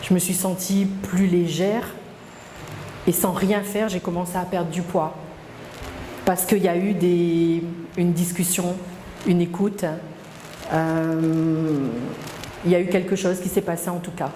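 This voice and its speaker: A middle-aged woman speaks in a somewhat low, mellow voice. She talks hesitantly and reflectively, with frequent fillers and restarts. The sound is roomy with a long reverb and faint background ambience. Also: level tone, a little slow, pauses often, a little hiss or hum. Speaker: interviewee. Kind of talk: personal testimony interview.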